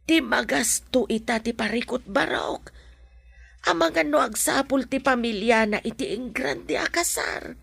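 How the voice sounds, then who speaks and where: Woman as a very husky, creaky worried mother. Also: a little high, measured pace, fairly bright tone, dry recording, exaggerated voice. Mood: worried, doubtful. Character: worried, doubtful mother of a grown son. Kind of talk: audio drama acting.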